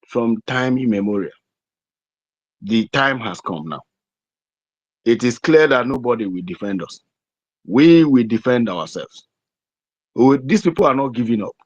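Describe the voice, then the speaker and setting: A Nigerian man giving a deliberate, emphatic political address as an activist speaker, repeating phrases over an online audio call. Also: mid-range voice, dry recording. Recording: dry, dull lo-fi internet call audio.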